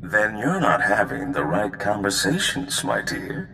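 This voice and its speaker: An actor playing a suave male republic officer in a video game, smooth, confident and flirtatious. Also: on the low side, huge pitch swings, measured pace, sharp tone, long reverb tail, slight background noise, exaggerated voice, low-quality recording.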